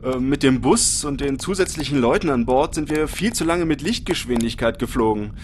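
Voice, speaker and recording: A man's voice: medium pitch, animated, moderate pace, slight background noise, lightly acted. A man playing a wary, matter-of-fact crewman in a comic sci-fi audio drama. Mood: hesitant, worried. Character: wary, practical crewman of a comic space crew.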